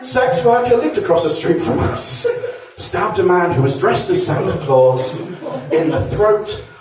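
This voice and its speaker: Man in his thirties, lively swooping delivery, stand-up set, echoey club recorded from a distance, laughing crowd. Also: high-pitched, measured pace. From Devon, England. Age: 30s. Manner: self-mocking, animated, swearing, stammering restarts. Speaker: stand-up comedian. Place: comedy club stage with live audience.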